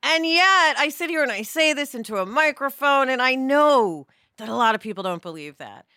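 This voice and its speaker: A woman's voice: high-pitched, expressive, normal speed, sharp tone, smooth, no room sound, studio quality. A woman co-hosting a podcast in casual, reflective discussion, talking candidly with digressions and questions to herself. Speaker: podcast co-host.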